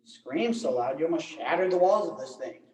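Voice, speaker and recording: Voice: mid-range voice, expressive, brisk, darkish tone, close to an everyday voice. A teenage performer acting a stubborn, earnest mime trapped in an imaginary box, in a theatrical school stage comedy. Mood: indignant. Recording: echoey, distant school play recording.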